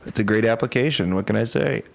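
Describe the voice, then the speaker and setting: A man explaining things in an informal, conversational way on a microphone set to sound bassy. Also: fast talker, creaky. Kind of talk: casual explaining. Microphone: close microphone set to sound bassy.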